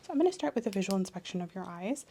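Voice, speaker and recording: A woman soft-speaking an ASMR medical exam role-play as the examiner, explaining in a clinical way and often slipping into a whisper. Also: mid-range voice, expressive, racing, a little hiss or hum.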